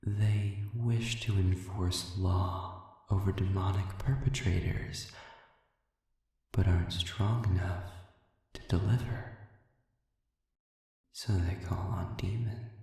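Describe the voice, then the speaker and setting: A man playing a suave, sadistic demon in an audio roleplay, speaking in a measured, condescending, formal way with lingering pauses and a breathy voice that often sinks toward a whisper. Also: deep voice, fairly even intonation, mellow, full character voice. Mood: disdainful, insinuating. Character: suave, sadistic, manipulative male demon prisoner. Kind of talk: audio roleplay, slow intimate monologue addressed to listener. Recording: echoey hall-like reverb, distant.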